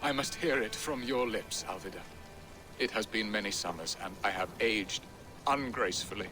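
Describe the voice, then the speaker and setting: A man's voice: on the low side, moderate pace, music in the background, lightly acted. An actor playing a kindly, lovestruck middle-aged Saxon nobleman in a video game, courtly and heartfelt. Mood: tender, yearning. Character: sentimental, kindly aging Saxon nobleman in love. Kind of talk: dramatic video game acting.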